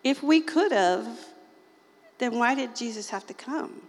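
Raspy woman preacher, folksy and chatty, talking to a small group in a hall with a long echo. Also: fairly high pitch, animated, unhurried. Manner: chatty, folksy, often laughs and acts out quoted voices. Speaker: lay preacher. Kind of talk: informal sermon to a small group.

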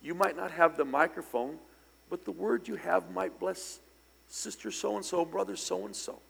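A middle-aged man preaching a sermon in church, slightly hoarse and raspy from singing, conversational with loud bursts of emphasis. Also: sits high, a little slow. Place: church sanctuary. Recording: long hall reverb in church.

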